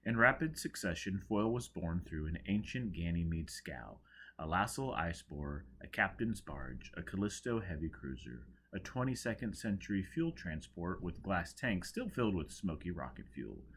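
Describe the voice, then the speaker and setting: A man reading an audiobook, narrating dramatically with a theatrical, expressive delivery. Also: low voice, moderate pace, slight background noise. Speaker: audiobook reader.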